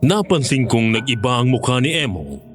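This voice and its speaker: A man's voice: medium pitch, sing-song, moderate pace, over music, close to an everyday voice. A man narrating a first-person horror story as a former monster hunter recounting his experience. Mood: grave, suspenseful.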